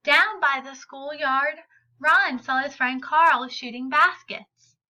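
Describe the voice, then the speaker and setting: A woman reading a children's picture book aloud in an expressive, deliberate and emphatic way. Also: high-pitched. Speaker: read-aloud storyteller.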